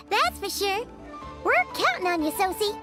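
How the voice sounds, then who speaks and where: A woman voicing a small, cheerful, childlike companion in an English video game, squeaky, chatty and excitable. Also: slow, heavily acted. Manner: perky, refers to self in third person. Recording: game music playing behind.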